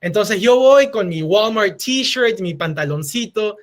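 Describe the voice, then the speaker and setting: A man's voice: high voice, animated, normal speed. A man in his twenties from Peru with a Peruvian Spanish accent, giving an earnest motivational talk and slipping Spanish phrases into his storytelling. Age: 20s. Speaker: speaker giving a lightning talk.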